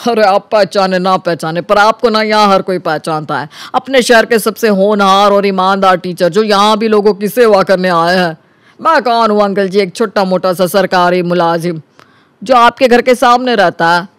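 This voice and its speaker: A man voicing a cocky, smooth-talking young man who flatters elders in an animated story. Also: high voice, moderate pace, no room sound. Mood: flattering. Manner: glib, boastful, smooth-talking. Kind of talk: animated Hindi story character acting.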